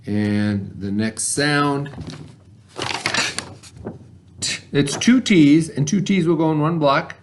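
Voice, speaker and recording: A man's voice: fairly high pitch, a little slow, noisy background. A man working as an elementary teacher, patiently leading a spelling lesson for children with questions and sounded-out letters. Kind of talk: read-along spelling lesson for children at home.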